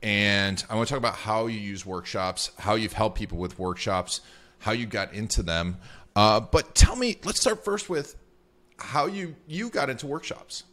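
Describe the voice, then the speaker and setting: A man hosts an interview and asks his questions in a chatty, lively way. His voice sits low, and he speeds up in quick bursts. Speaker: interview host. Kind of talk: conversational interview.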